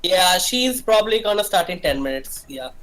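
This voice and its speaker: Animated man in casual stream chat. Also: high voice, normal speed, over a noisy crowd, poor audio.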